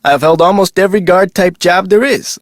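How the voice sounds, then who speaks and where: A man's voice: high-pitched, a little fast, no echo, clean studio sound. A man voicing a chatty, folksy security guard at an amusement park in a video game, with colloquial scripted lines. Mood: chatty, casual. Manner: folksy, colloquial, animated delivery.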